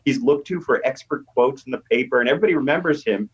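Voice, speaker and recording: A man's voice: medium pitch, expressive, fast, slightly rough. A middle-aged or older American man, a professor guest explaining things conversationally and emphatically in an interview. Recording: dull, slightly lo-fi voice track.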